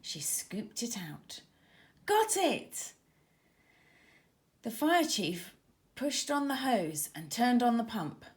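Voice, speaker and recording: A woman children's author reading her storybook aloud with expressive, dramatic emphasis for young listeners. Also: on the high side, takes it slowly.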